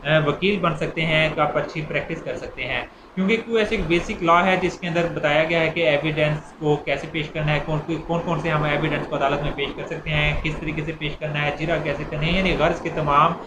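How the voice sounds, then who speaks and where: Pakistani male lecturer, slightly high voice, halting explanatory delivery, recorded in an echoey room. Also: fairly even intonation. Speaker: law lecturer. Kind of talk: explanatory lecture, informal and bilingual. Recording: echoey room, clear background noise.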